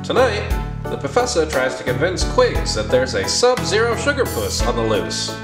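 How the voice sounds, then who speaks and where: Low-voiced woman announcer over loud music. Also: huge pitch swings, moderate pace. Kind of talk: old-time radio show introduction, scripted narration. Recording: roomy echo under loud music. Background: loud plucked guitar music.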